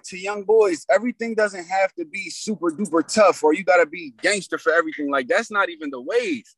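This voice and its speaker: A raspy young man telling his story in loose, slang-heavy casual talk as a rapper guest. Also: high-pitched, a bit bright, no room sound, rough recording.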